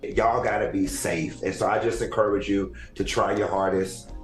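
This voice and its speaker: A man's voice: lowish voice, steady tone, normal speed, faint music behind. A man talking casually and earnestly, giving advice to women in a video. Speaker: online commentator. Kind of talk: advice monologue. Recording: echoey room.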